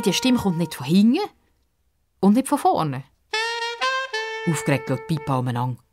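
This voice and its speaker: A woman with a Swiss accent telling a scripted children's story expressively. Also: fairly low pitch.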